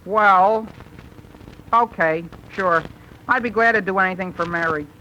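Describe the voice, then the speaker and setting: A man's voice: high voice, a little slow, bright, forceful tone, lo-fi sound. An actor playing an earnest, hesitant teenage boy who stammers and dodges, in a 1930s radio comedy. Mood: earnest, eager. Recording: noisy, vintage 1930s radio broadcast.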